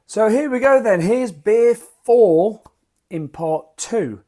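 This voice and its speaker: A man with a croaky voice from a lingering cough, rambling in a chatty, self-deprecating way as he reviews beer at his home bar into a desk microphone. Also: sits high, animated, slow pace, warm tone.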